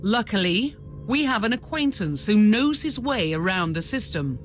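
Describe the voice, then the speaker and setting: Woman acting composed female advisor, dryly wry, formal, medium pitch, game cutscene, music behind. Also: expressive, normal speed, lightly acted. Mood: composed, informative. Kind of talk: video game cutscene dialogue.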